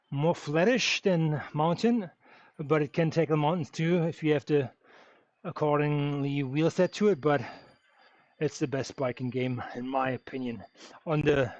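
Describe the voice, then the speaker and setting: A man's voice: fairly high pitch, darkish tone, no echo. A man chatting while riding. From Germany. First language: German. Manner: easygoing, thinking aloud with many fillers. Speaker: streamer riding an indoor bike session. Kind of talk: casual livestream chat, answering viewers while riding. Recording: dull, dry lo-fi sound.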